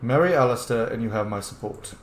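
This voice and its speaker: A man's voice: mid-range voice, a little fast, echoey room, a little hiss or hum. A man playing a roleplaying game, commenting in a chatty, informal way and reading out his character's dialogue. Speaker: gamer, roleplay series host.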